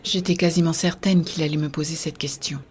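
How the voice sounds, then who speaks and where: A woman playing an earnest, idealistic young-hearted believer in a scripted science-fiction audio drama, with a husky, soft delivery. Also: low voice, fairly even intonation, fairly quick, close to an everyday voice. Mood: hushed, pensive. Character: Béa, earnest idealistic woman defending a shared-consciousness network. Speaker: voice actor narrating in first person.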